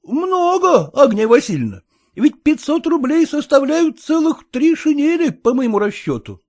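A man's voice: extremely high pitch, big pitch swings, normal speed, darkish tone, pitched above the performer's own voice, full character voice. A man voicing a stubborn, fawning petty clerk who haggles and whines over money. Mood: wheedling haggling. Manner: haggling, whining, obsequious.

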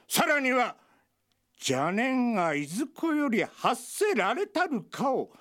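An eccentric old feng shui master, speaking in a high, wildly swooping voice at an unhurried pace. His speech is archaic and self-important, and faint music plays beneath him in this radio drama. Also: full character voice. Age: older. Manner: old-fashioned pompous speech, lively swooping pitch.

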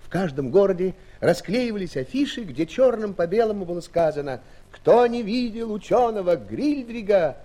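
A man narrating a fairy-tale audio play in an expressive, scripted storytelling style. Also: high-pitched, moderate pace, hardly any pauses.

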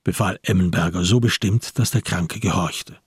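A man narrating a radio crime drama in a measured, grave, literary storytelling style. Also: low-pitched, husky, no room sound, clean studio sound.

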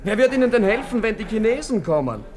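An actor playing a scornful, jeering army officer in an old radio drama, with a crowd behind. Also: male voice, high-pitched, very animated, quick pace, a little mellow. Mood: scornful, mocking. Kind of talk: 1960s German radio drama.

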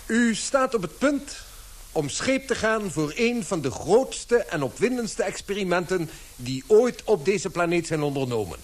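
A man's voice: high voice, very animated, unhurried, some chatter behind, a little hiss or hum. A man acting a formal, courteous but firm British society chairman in a radio play, speaking in an oratorical manner. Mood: solemn, ceremonial.

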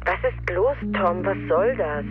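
A woman's voice: fairly low pitch, lively intonation, unhurried, soft background music. An actress playing a guarded professional woman who talks evasively and challengingly over a telephone in a radio drama. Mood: indignant, alarmed. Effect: telephone filter. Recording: thin tinny phone line.

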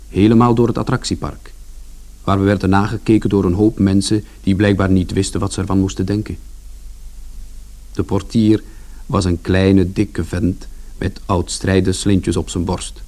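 A man's voice: on the low side, fairly even intonation, normal speed, mellow, close to an everyday voice. An actor playing a plain-spoken working-class son who tells his story in a colloquial, confiding first-person radio drama narration. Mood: subdued, sad.

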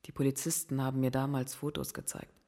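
A woman playing a wounded but resolute survivor in a crime radio play, speaking in a grave, measured manner. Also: very deep voice, level tone, dark tone. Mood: haunted, grave.

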